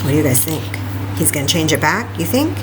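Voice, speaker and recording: A woman hosting a children's library story time, reading a picture book aloud with animated, exclamatory asides straight to her young listeners. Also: low-pitched, fast talker, background noise.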